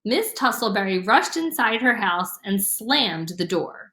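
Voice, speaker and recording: Female storybook narrator, animated intonation, slow read-aloud pace, echoey room. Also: medium pitch. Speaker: storybook reader. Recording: roomy, echoing room sound.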